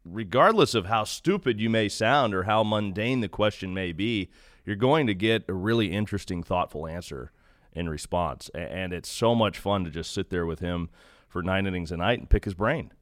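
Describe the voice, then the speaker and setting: Deep-voiced man in a casual interview. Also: moderate pace, a bit bright, no room sound, studio quality. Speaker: radio play-by-play announcer, podcast guest.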